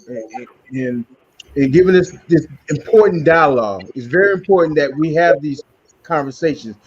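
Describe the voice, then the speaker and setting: A man hosting a community podcast and wrapping up a panel talk, with many fillers and repeated words. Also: on the high side. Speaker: podcast host. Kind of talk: closing a panel discussion. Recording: dull lo-fi sound.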